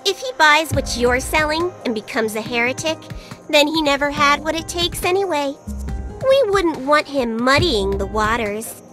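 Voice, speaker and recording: A woman's voice: high voice, animated, moderate pace, sharp tone, faint music behind. A woman voicing a small, cheeky female fairy in a video game, blunt, flippant and teasing. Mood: callous, flippant. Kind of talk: video game cutscene acting.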